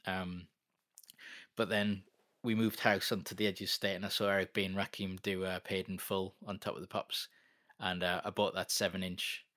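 Man, 40s, low bright voice, rambling self-deprecating guest. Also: level tone, moderate pace, no room sound, clean studio sound. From North East England. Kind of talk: casual interview chat.

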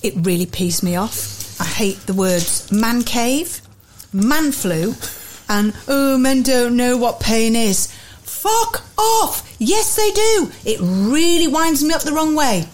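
A woman's voice: on the high side, takes it slowly, a little hiss or hum, poor audio. A middle-aged woman co-hosting a podcast in casual, blunt chat that turns into a rant. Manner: blunt, animated, ranting. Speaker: podcast co-host.

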